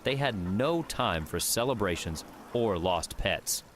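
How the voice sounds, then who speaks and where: Low-voiced man narrating an audiobook. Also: animated, slow pace, fairly bright tone, a little hiss or hum. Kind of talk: scripted fiction narration.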